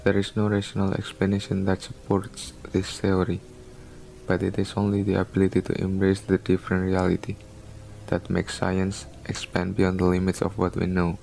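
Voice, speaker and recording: A man's voice: low-pitched, monotone, moderate pace, creaky, darkish tone, slight background noise, low-quality recording. A man playing a doctor in an amateur radio drama, asking probing, coaxing questions. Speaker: doctor, researcher.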